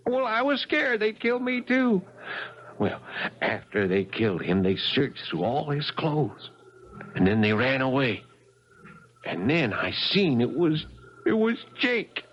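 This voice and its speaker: An actor playing a simple, timid country brother, stammering and confused, in a 1970s radio mystery drama. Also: male voice, on the high side, sing-song, lo-fi sound. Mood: scared, rambling. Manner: stammering, slow-witted, questioning. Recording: noisy old radio broadcast.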